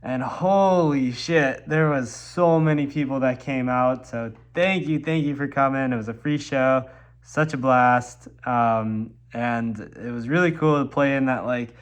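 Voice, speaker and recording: A man's voice: medium pitch, unhurried. A young man from Milwaukee, congested from a cold, rambling casually in a vlog with lots of ums and likes. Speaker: musician vlogger.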